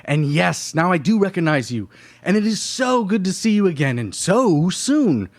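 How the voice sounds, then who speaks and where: Grandiloquent painter for radio drama, male actor, effusive, raised pitch, very animated. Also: moderate pace. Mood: effusive, welcoming. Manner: pompous, flowery, gushing. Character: pompous, effusive male painter with florid speech. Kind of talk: live radio play acting.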